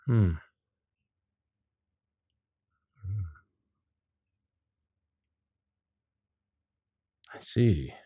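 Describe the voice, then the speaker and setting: A man playing a courteous, protective Victorian gentleman suitor in a romantic period ASMR roleplay, with formal, old-fashioned phrasing and dramatic pauses. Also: lowish voice, breathy edge, no room sound, studio quality, full character voice. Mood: concerned, intrigued. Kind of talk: romantic period ASMR roleplay, intimate one-sided dialogue.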